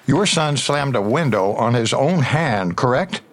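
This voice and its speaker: Smug by-the-book cop grilling someone, medium pitch, formal but needling, audio drama. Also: male voice, expressive, unhurried. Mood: accusing. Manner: formal, pressing, insinuating. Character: needling, officious male internal-affairs detective interrogating a colleague.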